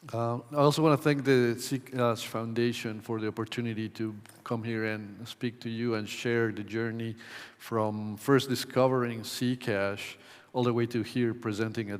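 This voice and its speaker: A man from Ecuador giving a conference talk in a measured way, with many ums and uhs. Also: mid-range voice, clean tone. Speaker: conference presenter. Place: conference hall. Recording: long hall reverb.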